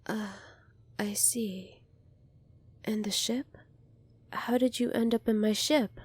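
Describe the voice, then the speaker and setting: A woman playing a gentle, earnest young alien princess in an ASMR roleplay, speaking softly and politely to the listener. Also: on the high side, fairly even intonation, slow pace, breathy edge, no echo. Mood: concerned. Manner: soft-spoken, polite, earnest, apologetic.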